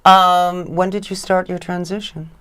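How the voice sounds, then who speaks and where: Clear-voiced talk show host. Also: mid-range voice, level tone, measured pace, a bit bright.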